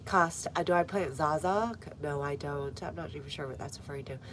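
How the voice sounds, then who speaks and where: A woman with a low, slightly stuffy voice talks casually to her live viewers, answering comments with plenty of fillers. She is recorded outdoors in a garden, and the sound carries a noticeable noisy background. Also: a little fast, a bit bright. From United States. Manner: chatty, reads viewer comments aloud, frequent fillers. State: stuffy nose. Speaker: gardening livestreamer. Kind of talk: casual live-stream chat.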